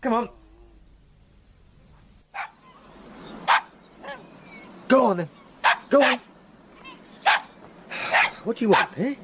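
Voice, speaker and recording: An actor playing a courteous, earnest young English solicitor in a period gothic radio drama, well-spoken and polite. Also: male voice, very high voice, expressive, a little slow, background noise, heavily acted. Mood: playful. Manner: polite, well-spoken, talks fondly to a dog.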